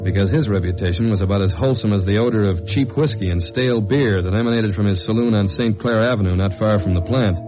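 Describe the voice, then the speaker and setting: Actor as low-voiced American radio detective. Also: male voice, natural voice. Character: undercover investigator man narrating his case, dry and steady. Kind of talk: 1950s radio detective drama acting. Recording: muffled old radio recording with music.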